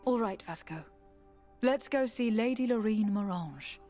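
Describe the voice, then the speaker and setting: A woman's voice: fairly high pitch, animated, a little slow, mellow. An actress playing a composed, diplomatic noblewoman envoy in a video game, formal and polite. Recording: dry voice, faint game music. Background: faint music.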